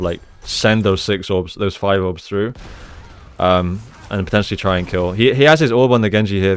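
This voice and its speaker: A man giving casual, conversational commentary as he analyses gameplay like a coach, explaining each move. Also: sits low.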